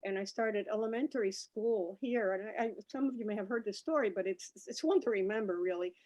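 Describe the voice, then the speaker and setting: A woman's voice: medium pitch, a little fast, darkish tone. A woman in her sixties from Cuba, a native Spanish speaker, telling personal stories as a panelist in a conversational way. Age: 60s. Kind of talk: reminiscing panel talk.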